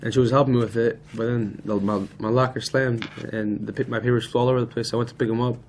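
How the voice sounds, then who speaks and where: A teenage male student acting an aggrieved high schooler, talking in a halting, casual, slangy way. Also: fairly even intonation, lightly acted. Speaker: student actor in a peer mediation dramatization. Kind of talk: acted school role-play dialogue. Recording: noisy room ambience.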